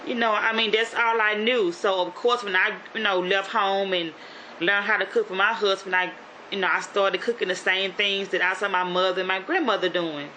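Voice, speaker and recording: A woman with a Southern Louisiana accent talks to her viewers in a chatty, rambling way, full of fillers and restarts. Her voice is bright and forward. A faint hiss of noise sits under the recording. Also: medium pitch, steady tone, moderate pace, rough recording. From Shreveport, North Louisiana. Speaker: YouTube vlogger. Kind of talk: casual motivational vlog.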